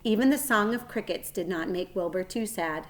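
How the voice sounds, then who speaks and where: A woman reading a children's story aloud like a teacher, with a careful, expressive delivery. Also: mid-range voice, normal speed.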